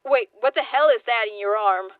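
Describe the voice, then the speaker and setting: Woman voicing a brisk, exasperated pro rescuer. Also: a little high, clear, resonant tone, dry recording, distant mic, narrow, tinny audio, natural voice. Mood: firm, startled. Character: brisk adult pro hero rescuer, exasperated but steadying.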